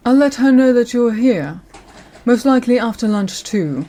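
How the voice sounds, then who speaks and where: A woman playing a courteous senior lawyer in an audio roleplay, speaking in a polite, measured, professional way to the listener. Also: a little high, lively intonation, mellow, natural voice. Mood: polite, businesslike. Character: courteous senior female lawyer showing a newcomer around.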